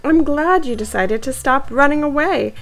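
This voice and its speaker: A woman's voice: high voice, normal speed, gravelly, voice pushed up, rough recording. A woman voicing a friendly, effusive male cat who is an artist in a storybook. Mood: delighted.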